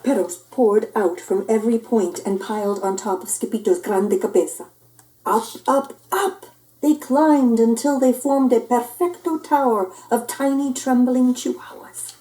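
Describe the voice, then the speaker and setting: A woman reading a children's picture book aloud in an expressive, theatrical, playful way. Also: a little high, measured pace, poor audio. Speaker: storyteller.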